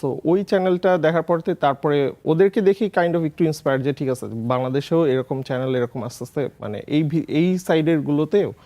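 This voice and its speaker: A man's voice: a little high, a little fast, few pauses, mellow. A man with a Bangladeshi accent telling his story casually as an interview guest, mixing English words and phrases into his speech. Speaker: guest, food content creator.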